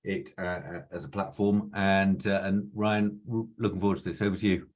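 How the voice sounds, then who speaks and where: Host, male, low and flat delivery; hesitant, filler-heavy handover to the speaker. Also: moderate pace. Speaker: webinar host introducing the speaker.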